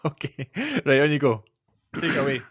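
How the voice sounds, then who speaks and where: A man's voice: a little high, sing-song, moderate pace, fairly bright tone, no room sound, studio quality. A man, talking casually as a podcast host. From Scotland.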